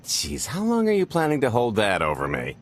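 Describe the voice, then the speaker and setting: A man's voice: mid-range voice, wildly swooping pitch, fairly quick. A man voicing a roguish middle-aged smuggler in a video game, wry, breezy and glib. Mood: wry, pleased. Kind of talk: video game cutscene acting.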